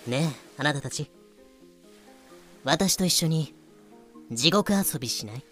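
A man's voice: fairly high pitch, expressive, takes it slowly, a bit bright, soft background music. A man voicing a casually cruel pirate captain who talks in nonchalant, feminine phrasing in a fan-made voice drama. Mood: playfully nonchalant.

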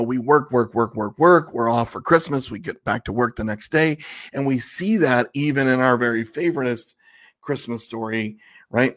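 Guy with a bit of a raspy voice, casual stream host, rambly chatty talk, keeps asking the chat stuff. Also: mid-range voice. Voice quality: a little raspy. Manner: conversational, rambling, asks viewers questions. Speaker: livestream host.

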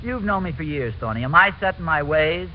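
An actor playing a stubborn, chatty suburban husband who keeps justifying himself, in old-time radio sitcom style. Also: male voice, a little high, lively intonation, a little fast, close to an everyday voice. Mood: insistent, defensive. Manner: self-justifying, answers his own questions. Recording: muffled, noisy old radio recording.

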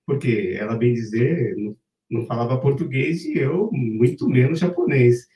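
A man's voice: mid-range voice, animated, normal speed, dark tone, recorded from a distance. A man casually telling the story of how he met his wife, rambling and tagging his sentences with "né?". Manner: rambling, frequent "né?" tags. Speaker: interview guest, singer. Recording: roomy, from off-mic.

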